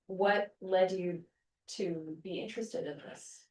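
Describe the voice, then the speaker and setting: A woman's voice: fairly low pitch, takes it slowly. Distant, echoey woman, hesitant and casual. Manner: hesitant, filler-heavy with "like". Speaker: audience member asking questions. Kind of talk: casual, tentative questioning. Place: audience seats of a lecture hall. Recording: distant, echoey lecture room.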